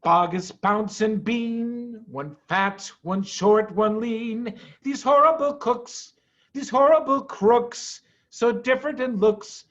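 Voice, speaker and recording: A middle-aged American man, a head of school, hosting an online story time and reading aloud to young children in an animated, emphatic way with dramatic pauses. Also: very high-pitched. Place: online video call. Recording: dull, muffled online video call.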